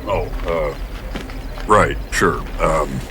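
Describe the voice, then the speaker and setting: A man's voice: rumbling low, very slow, exaggerated voice. A man playing a bumbling rural farmer in a radio drama, hesitant, folksy and rambling. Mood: drowsy, hesitant. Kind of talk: radio drama character acting. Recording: distant from mic, outdoor noise.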